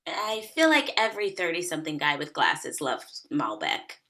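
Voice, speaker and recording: A woman reads a blunt thirty-something woman with a deadpan edge. Her voice is bright and clear, delivering each put-down flatly. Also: low voice, normal speed, exaggerated voice. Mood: dry, blunt. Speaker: actor playing Megan. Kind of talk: table read of a comedy pilot.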